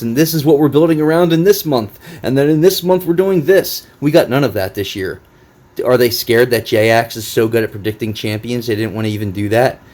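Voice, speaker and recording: A man's voice: medium pitch, darkish tone. A man hosting a casual gaming read-through with commentary, speaking in a blunt, exasperated way with plenty of swearing. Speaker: gaming video host.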